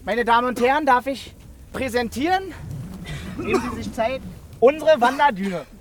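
A man's voice: very high voice, animated, moderate pace, crowd noise. An actor playing an eager village man in a radio play, presenting proudly and bossing people along. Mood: proud, grandiose.

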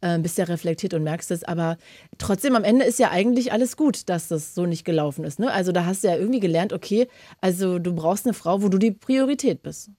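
A woman's voice: lowish voice, lively intonation, no echo, clean studio sound. A woman hosting a radio call-in show, chatting casually and giving callers advice. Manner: chatty, warm-hearted advice, fast talking. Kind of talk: casual radio phone-in chat.

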